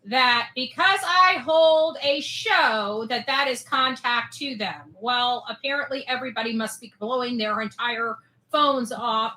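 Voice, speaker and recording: A woman talks to her online audience in a forceful, bright voice pitched a little high. Her delivery is informal, indignant and sarcastic, like a show host arguing her own side. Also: rough recording.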